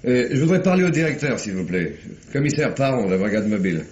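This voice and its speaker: Actor as shrewd police commissioner, dull vintage recording. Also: male voice, mid-range voice, very animated, quick pace, darkish tone, a little hiss or hum, natural voice. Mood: businesslike. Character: shrewd, genial, authoritative male police commissioner. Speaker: actor in a radio mystery. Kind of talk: 1950s French radio drama acting. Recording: dull, band-limited vintage radio recording.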